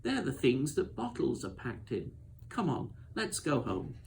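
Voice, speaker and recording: A man's voice: mid-range voice, huge pitch swings, measured pace, gravelly, darkish tone, heavily acted. Male reader doing a young boy, storybook, stubborn, blunt, matter-of-fact, noisy recording. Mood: dismissive.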